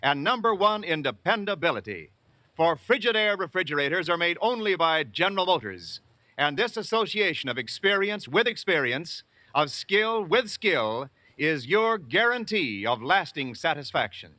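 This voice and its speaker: A man's voice: a little high, sing-song, normal speed, pushed and bright, no room sound. A man with an American accent announcing in a polished, emphatic, scripted 1940s radio style.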